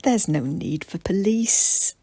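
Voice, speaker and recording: Woman as a brisk, husky farmer dad. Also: mid-range voice, lively intonation, dark tone, dry recording, clean studio sound. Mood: calm, forgiving.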